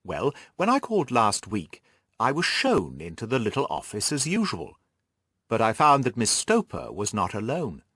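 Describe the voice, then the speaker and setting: A man narrates a literary audiobook in an expressive, scripted style. His mid-pitched voice moves in animated contours, recorded very dry and close. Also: no room sound.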